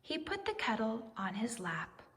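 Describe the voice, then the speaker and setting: A woman reading a children's story aloud in expressive narration, with deliberate, dramatic phrasing. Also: on the high side, long reverb tail. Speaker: storybook reader.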